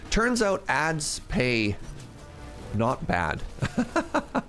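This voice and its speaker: Gravelly, sniffly man chatting on stream. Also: mid-range voice, fairly bright tone. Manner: rambling, chatty, laughs often. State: sniffly, congested. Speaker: game streamer. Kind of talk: casual live-stream chat while gaming.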